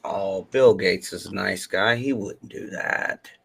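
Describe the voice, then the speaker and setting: Slow gravelly guy chiming in on a chat show, sarcastic, bit of noise behind him. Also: low voice, a bit bright. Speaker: talk show panelist. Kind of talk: casual chat. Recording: faint background noise.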